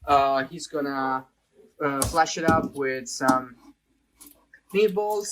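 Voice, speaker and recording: A man's voice: medium pitch, a crowd in the background, rough recording. A man with an Italian accent, co-hosting a casual cooking demonstration in a kitchen, often repeating words as he hunts for the right one. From Italy. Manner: halting, repeats words while searching for them. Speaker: cooking show co-host.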